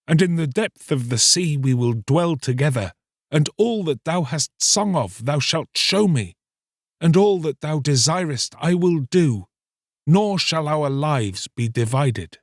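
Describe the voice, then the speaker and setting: A man's voice: on the high side, expressive, a little slow, no echo, clean studio sound, voice pushed up. A man voicing a lovestruck young fisherman in a storybook, pleading earnestly in impassioned, archaic speech. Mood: elated, resolute.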